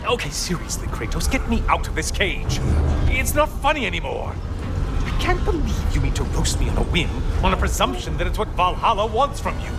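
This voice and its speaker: An actor playing a witty, anxious, wordy talking head with a Scottish accent in a video game cutscene. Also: male voice, high voice. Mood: exasperated. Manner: wordy, exclamatory, wry.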